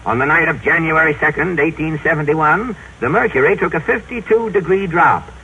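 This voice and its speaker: A man's voice: on the high side, a little fast. A man announcing scripted items in a formal, declamatory 1930s newsreel style. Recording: muffled noisy 1930s radio recording.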